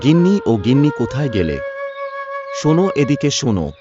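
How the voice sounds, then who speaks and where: A man's voice: medium pitch, sing-song, moderate pace. A man performing dramatized narration and dialogue for a cartoon story. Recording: dull lo-fi track with music.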